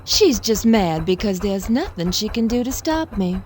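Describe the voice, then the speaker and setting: An actress playing a scheming, spiteful younger sister in an audio drama, sly, flirtatious and taunting. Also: female voice, medium pitch, lively intonation, moderate pace, darkish tone, slight background noise, natural voice.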